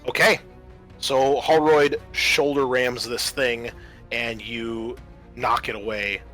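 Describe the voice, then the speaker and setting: A man with a bright, forward voice runs a tabletop roleplaying game. He narrates descriptively and addresses his players directly, at an average pitch, with faint music playing behind him. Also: little pitch movement, takes it slowly. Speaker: game master.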